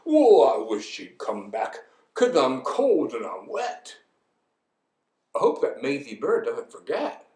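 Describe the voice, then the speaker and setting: A big, kindly, bumbling storybook elephant acted by a woman, with a very animated delivery. He is hesitant yet earnest and loyal, and the voice sounds out in an echoey room. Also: a bass voice, takes it slowly, recorded from a distance, full character voice. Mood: glum. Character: huge, gentle, faithful, bumbling male elephant.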